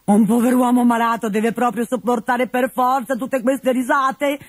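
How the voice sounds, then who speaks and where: A woman's voice: on the high side, steady tone, normal speed, no echo, clean studio sound, soft background music, pitched above the performer's own voice, lightly acted. A woman voicing a miserly, sickly old man who whines and grumbles, in a storybook reading. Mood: whining. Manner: whiny, complaining, shouting orders. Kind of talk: storybook character voice.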